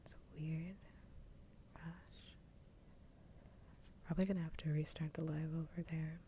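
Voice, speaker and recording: A woman hosts an ASMR livestream in a soft, breathy whisper. Her voice sits low and mellow, close on the microphone, with a casual, blunt delivery. Faint background noise runs underneath. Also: little pitch movement, unhurried. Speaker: livestream host.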